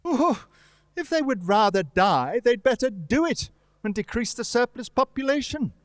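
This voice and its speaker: An actor playing a scornful, bitter old miser in a radio play, with curt, grumbling delivery. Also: male voice, extremely high pitch, big pitch swings, moderate pace, no room sound, clean studio sound. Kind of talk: stage radio-play acting.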